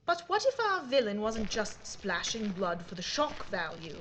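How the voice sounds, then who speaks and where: A woman's voice: medium pitch, big pitch swings, unhurried, fairly bright tone. An actress playing a plucky, witty British aristocratic lady sleuth in a period audio drama, with an arch, refined, playful delivery. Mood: keen, probing. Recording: faint background noise and ambience.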